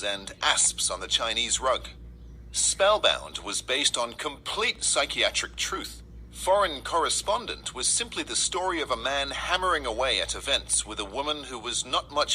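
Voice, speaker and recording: A man reading a first-person essay aloud, with emphatic, deliberate phrasing. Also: mid-range voice, pushed and bright, a little hiss or hum, low-quality recording. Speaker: reader reading an article aloud.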